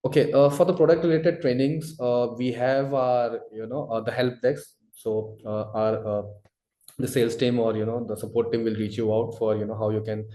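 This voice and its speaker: A man answering webinar questions as a product expert, explaining in a halting, wordy way full of verbal fillers. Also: mid-range voice, flat delivery, warm tone. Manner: halting, wordy, repeats filler phrases "you know". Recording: roomy, echoey webinar audio.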